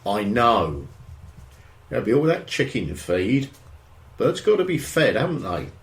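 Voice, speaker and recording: Grumbling husband, wildly swooping intonation. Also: male voice, mid-range voice, wildly swooping pitch, measured pace. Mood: disgruntled. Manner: grumbling, exasperated, mild swearing. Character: grumbling, indignant suburban husband spying on neighbours. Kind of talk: audio drama acting.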